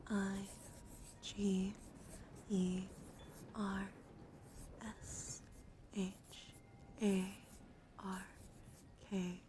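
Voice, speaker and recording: Hushed, creaky-voiced woman doing soft ASMR. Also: mid-range voice, noisy background. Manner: hushed, often dropping into whispers; gentle, soothing, affectionate. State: voice slightly strained from just waking up. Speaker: ASMR livestream host. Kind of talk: soft ASMR, chatting to live viewers.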